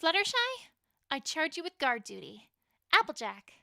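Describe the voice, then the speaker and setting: A woman's voice: high-pitched, big pitch swings, normal speed, creaky, sharp tone, dry recording, studio quality, full character voice. A woman doing Twilight, a commanding unicorn mare. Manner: decisive and commanding.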